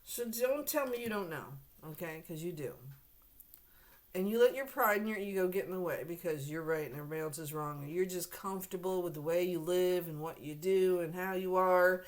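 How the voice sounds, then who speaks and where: Hoarse woman giving a blunt tarot reading. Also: lowish voice, brisk. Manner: frequent throat clearing; blunt, direct, lecturing the viewer. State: hoarse, losing her voice. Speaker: tarot reader. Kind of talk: casual tarot reading to camera.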